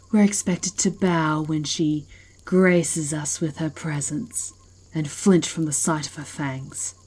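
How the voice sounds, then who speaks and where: A proud she-wolf ruling an ancient pack, acted by a woman in an ASMR fantasy drama. Her voice sits very low for a woman and has a husky rasp. She speaks with haughty, menacing deliberation. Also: darkish tone. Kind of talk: ASMR fantasy roleplay acting.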